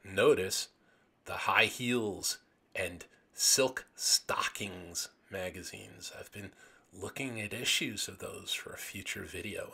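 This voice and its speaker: A man with a gravelly, raspy voice narrating an illustrated commentary as host, with enthusiastic, dramatic emphasis on phrases. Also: low-pitched, pushed and bright. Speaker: host of a video series on vintage pulp magazines.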